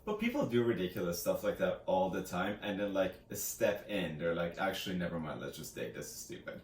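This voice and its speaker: A man's voice: low-pitched, very animated, brisk. A man co-hosting a casual book chat, retelling things in a rambling way and often acting out quotes. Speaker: co-host of a book review channel. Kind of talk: casual chatty book discussion. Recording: roomy echo, distant mic, faint hiss.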